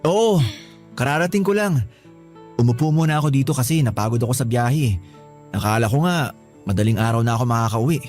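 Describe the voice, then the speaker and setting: An actor playing a tired young husband who pleads and gets flustered in a radio drama, with faint music behind. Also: male voice, medium pitch, lively intonation, brisk, pauses often, a little mellow, close to an everyday voice. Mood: weary. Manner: weary, pleading, flustered when pressed. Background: background music.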